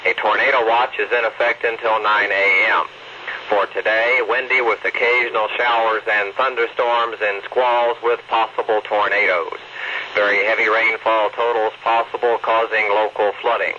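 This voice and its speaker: A man's voice: lowish voice, little pitch movement, moderate pace. A man reading a weather forecast as a radio announcer, in a formal, clipped manner. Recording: dull noisy radio broadcast recording.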